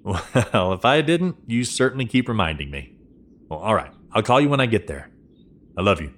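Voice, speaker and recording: An actor playing an easygoing, gently teasing middle-aged father in a naturalistic audio drama. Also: fairly low pitch, very animated, normal speed. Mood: fond, teasing.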